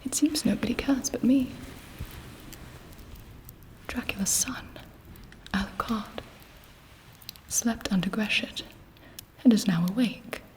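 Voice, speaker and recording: A woman playing an imperious, scheming, seductive vampire queen in an intimate ASMR roleplay, speaking in a deliberate, haughty whisper. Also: on the high side, rough recording. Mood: scornful.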